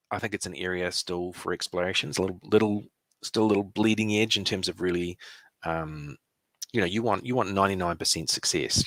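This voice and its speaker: A man with a New Zealand accent giving a conference presentation, relaxed, with many fillers and restarts. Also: low voice, dry recording.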